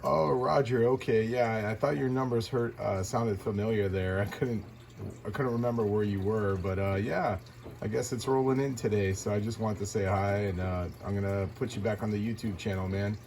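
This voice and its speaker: A man's voice: lowish voice, fairly even intonation, normal speed, gravelly. A man talking casually as a radio operator, with clipped repeated call-outs, operator jargon and laid-back slangy address. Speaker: amateur CB radio operator and YouTuber. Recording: loud radio static and hiss.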